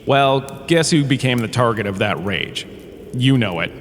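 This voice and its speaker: A man's voice: medium pitch, expressive, moderate pace, lightly acted. A man playing a washed-up holodrama star who lectures in a comedic audio drama, chatty and wry, with theatrical storytelling. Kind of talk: comedic scripted lecture narration. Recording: long reverberant hall, faint ambient noise.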